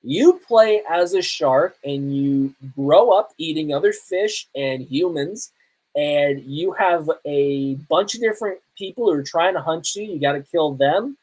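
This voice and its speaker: A man's voice: fairly high pitch, lively intonation, unhurried, a little mellow, low-quality recording. Enthusiastic man hosting a podcast. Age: 30s. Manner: conversational, enthusiastic, emphatic. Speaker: podcast host. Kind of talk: casual solo podcast chat on pop culture and games.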